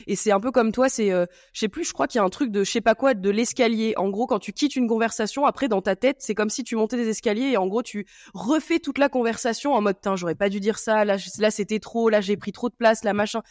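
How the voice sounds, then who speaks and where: A woman's voice: fairly high pitch, fast, few pauses, a little mellow, dry recording, clean studio sound. A woman hosting a podcast, chatting casually and rambling with many fillers and restarts. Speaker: podcast host. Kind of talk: casual chat.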